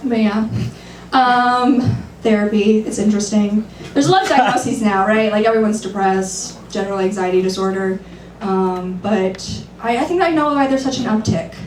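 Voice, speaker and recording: A woman's voice: moderate pace, darkish tone. Self-deprecating woman comic in a roomy club. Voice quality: sometimes shrill. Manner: self-deprecating, dry, laughing at her own jokes. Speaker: stand-up comedian. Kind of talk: stand-up set at an open mic. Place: comedy club stage. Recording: roomy, distant, noisy club recording.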